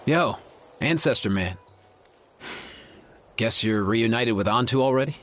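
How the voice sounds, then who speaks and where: Laid-back young guy, medium pitch. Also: lively intonation, measured pace, fairly bright tone. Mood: warm, reminiscing. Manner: casual, slangy, sincere. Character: laid-back, caring young male creature vet. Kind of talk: video game character acting. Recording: music behind the voice.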